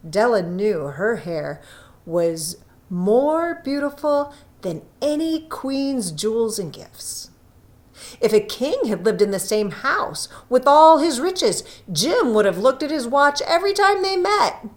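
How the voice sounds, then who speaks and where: Slow, expressive woman reading a story. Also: on the high side. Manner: deliberate, expressive storytelling with pauses. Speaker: story reader, narrator. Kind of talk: scripted narration, literary short story reading.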